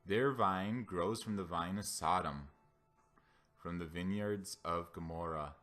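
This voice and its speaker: A man's voice: low voice, takes it slowly, fairly bright tone, soft background music. A man gives a dramatic, solemn and declamatory reading of scripture. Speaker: scripture reader.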